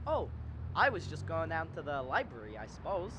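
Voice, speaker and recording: A young actor playing a restless, kind-hearted man in a radio drama, halting and wry in delivery. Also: medium pitch, big pitch swings, close to an everyday voice, rough recording. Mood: restless, dreamy. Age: young adult. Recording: faint background noise.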